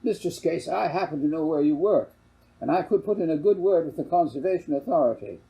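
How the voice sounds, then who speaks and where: A man's voice: fairly high pitch, expressive, fairly quick, creaky, mellow, pitched above the performer's own voice, low-quality recording. A man voicing a slick, ingratiating land salesman who blusters and stammers in a storybook reading. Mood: pressing. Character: slick, flustered salesman pushing a land scheme.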